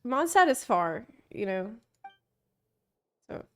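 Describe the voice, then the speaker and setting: A woman's voice: mid-range voice, big pitch swings, slow, fairly bright tone. A woman live-streaming a game, chatting casually and reacting to what happens. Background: faint game music.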